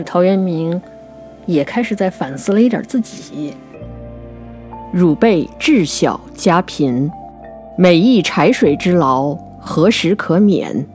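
A woman with a fairly low voice talks through literature as a podcast co-host. She explains in a thoughtful, conversational way and restates her points. Soft music plays behind her.

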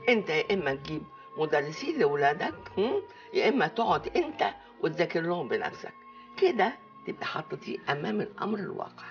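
A woman's voice: low voice, very animated, fast talker, lo-fi sound, faint music behind. An older woman with an Egyptian accent acting a bossy, cunning aunt in a TV comedy, drawling and coaxing theatrically. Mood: mocking, instructive. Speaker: actor in a TV comedy series. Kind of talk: comic TV drama dialogue. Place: living room set, indoors.

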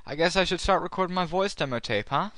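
A man's voice: sits high, expressive, fast talker, pushed and bright, no echo. A man, an amateur voice actor, speaking casually to introduce his demo.

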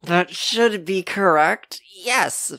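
A woman playing an earnest, hesitant sentient android in an audio drama, speaking in halting, precise, formal phrases. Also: on the low side, vocal fry, a bit bright, no room sound, exaggerated voice. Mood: strained, sincere.

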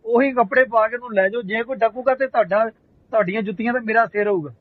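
A man's voice: very high voice, quick pace. A man debating on a phone call, explaining his points persuasively and addressing the other person respectfully. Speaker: caller debating a social media post. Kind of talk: argumentative phone conversation. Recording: muffled noisy phone call recording.

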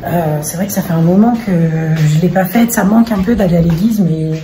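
A woman in her thirties chatting casually into her phone at home for a daily family vlog, rambling with many fillers, her voice a little hoarse. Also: on the low side, brisk, dark tone, a little hiss or hum. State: slightly hoarse. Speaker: vlogger, single mother of five. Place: home, living room and kitchen, indoors. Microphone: phone held at arm's length.

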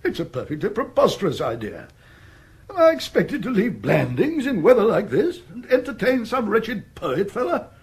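A man's voice: high voice, huge pitch swings, normal speed, a little mellow, husky, exaggerated voice. An actor playing a forgetful, grumbling old upper-class English earl in a radio comedy, blustering and muddled as he repeats himself. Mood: indignant, bewildered. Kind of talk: radio comedy drama acting.

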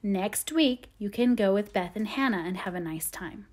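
A woman's voice: on the high side, normal speed, close to an everyday voice. A woman voicing a patient, motherly teenage eldest sister who reasons soothingly in a storybook reading.

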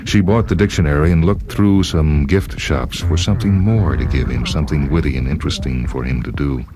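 A man reading literary fiction aloud as scripted narration, in a measured, dramatic way. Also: a bass voice, a crowd in the background. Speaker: story reader.

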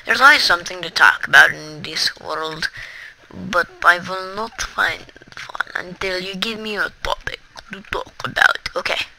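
A gamer giving rambling, chatty commentary over their own play, talking straight to viewers with sudden excitable outbursts. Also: mid-range voice, unhurried, vocal fry, rough recording. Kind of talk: casual gameplay commentary.

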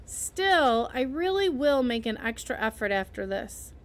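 A woman's voice: on the high side, big pitch swings, measured pace. A woman voicing a dreamy, gushing young orphan girl who talks in breathless, rapturous exclamations.

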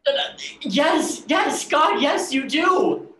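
A woman's voice: high-pitched, big pitch swings, extremely slow, fairly bright tone, full character voice. A young adult playing an awkward, smitten young man, stammering and hesitant, in a play reading. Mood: flustered. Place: indoor room, video call. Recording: roomy video call, background noise.

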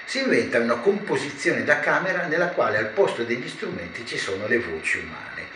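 An older man speaks at a middle pitch, explaining things like a learned stage director. His delivery is measured and broken by thoughtful pauses. The room adds noticeable echo, and there is clear noise behind him. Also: big pitch swings. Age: older, around 70. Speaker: theatre director being interviewed. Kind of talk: reflective explanatory talk to camera. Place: small living room with shelves, indoors.

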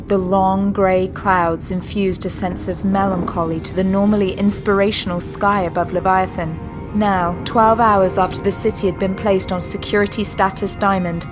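Woman narrating audio drama over music. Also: mid-range voice.

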